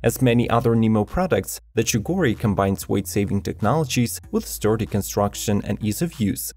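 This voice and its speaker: A man reading a scripted product review as a voice-over, in an even, list-like manner. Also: on the low side, moderate pace, no echo. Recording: voice-over with faint background music.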